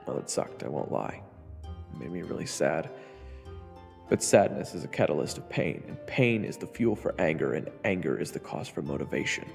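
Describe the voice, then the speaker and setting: A man's voice: low voice, gravelly, darkish tone, in a large hall. A man narrating a scripted first-person fantasy story for an audio roleplay.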